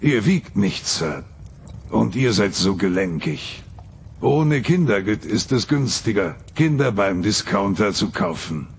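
A low, gravelly cat-man father, put on by a man, who talks in a dry deadpan that swings up and down. He sounds scheming and unbothered, with faint music playing behind him. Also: a little slow, a little hiss or hum, full character voice. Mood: matter-of-fact. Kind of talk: comedy sketch acting.

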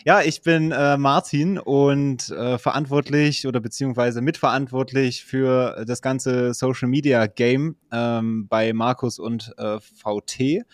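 A man of middle pitch, chatting as a podcast guest in a dry, close-miked sound. He talks in long, rambling runs full of small fillers and laughs. His tone stays loose and friendly. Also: fairly even intonation, a little slow, few pauses, dry recording. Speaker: social media manager, guest. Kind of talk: casual podcast chat.

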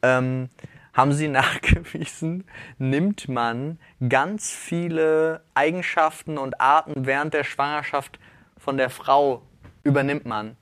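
A man's voice: on the high side, fairly even intonation, slow, fairly bright tone, no echo. A man in his thirties, a podcast host, talking in a rambling, reflective way and often correcting himself mid-sentence. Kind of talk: casual chat.

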